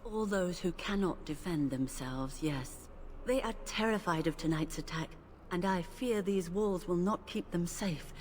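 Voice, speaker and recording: Lady doing gentle but stubborn chantry priestess from a game, low solemn voice, a bit of background noise. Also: natural voice. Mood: worried. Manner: solemn, measured speech. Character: devout older female priestess, gentle but principled. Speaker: game character. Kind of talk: video game voice acting. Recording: game audio with faint ambience.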